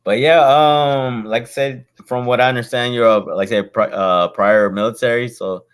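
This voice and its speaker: A man's voice: medium pitch, steady tone, moderate pace, clean tone. A man hosting a casual interview, friendly and rambling, with a habit of saying "bro" and "my man". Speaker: host, real estate investor.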